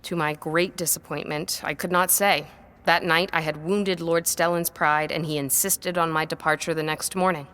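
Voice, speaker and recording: A woman's voice: deep voice, steady tone, normal speed, a bit bright, clear, resonant tone. A woman playing an earnest, guarded scholar giving formal testimony in an audio drama. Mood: measured, regretful.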